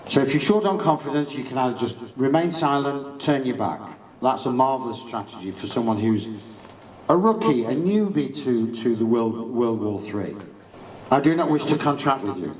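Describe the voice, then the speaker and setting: A man's voice: medium pitch, animated, normal speed, recorded from a distance. Middle-aged man, emphatic lecturing, echoey room. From England. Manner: emphatic, acts out quoted exchanges. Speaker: speaker giving legal talk. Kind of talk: informal lecture. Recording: echoey room, speaker away from mic.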